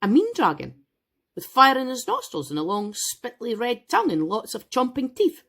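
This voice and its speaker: A woman's voice: on the high side, wildly swooping pitch, moderate pace, creaky, fairly bright tone, husky, voice pushed up, exaggerated voice. A woman voicing a playful mother who tells a story to her son, with a teasing lilt.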